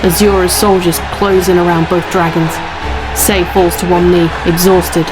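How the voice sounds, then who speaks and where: A woman's voice: on the low side, level tone, moderate pace, rough recording. A woman reading scripted narration of a fantasy action story as its narrator. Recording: loud music under the voice.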